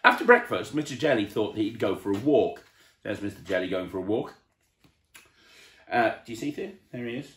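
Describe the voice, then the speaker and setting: Male children's entertainer: storybook narration, highly varied intonation, audible room echo. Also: expressive, normal speed, a bit bright. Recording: roomy, echoey indoor sound.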